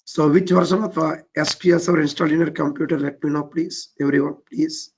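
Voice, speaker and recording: Indian man teaching with a husky voice. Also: fairly high pitch, flat delivery, a little fast, lo-fi sound. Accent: Indian English. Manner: repetitive, keeps saying "let's get started, step by step". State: voice a bit weak and low from overuse. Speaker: trainer. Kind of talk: live online technical class.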